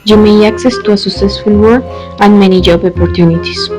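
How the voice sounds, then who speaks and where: A woman's voice: medium pitch, steady tone, brisk, low-quality recording. A woman reading scripted narration to introduce a report. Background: music.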